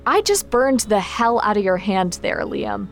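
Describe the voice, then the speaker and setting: An actress playing a caring but exacting magic trainer in a naturalistic audio drama, frank and instructive in manner. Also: female voice, medium pitch, very animated, unhurried, soft background music. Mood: frank, concerned. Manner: frank, instructive, gently concerned.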